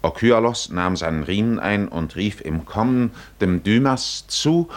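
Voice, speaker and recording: A man as the solemn, poetic narrator of a 1950s German radio drama, measured and dramatic. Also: fairly low pitch, expressive. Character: solemn poetic storyteller of a sea voyage.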